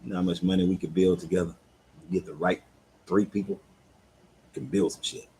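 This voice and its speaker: A man's voice: deep voice, steady tone, a little fast, gravelly, darkish tone, a little hiss or hum, rough recording. A man in his fifties, a life coach hosting a casual live talk, speaking candidly and in a laid-back, conversational way. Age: 50s. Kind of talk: casual live-stream chat.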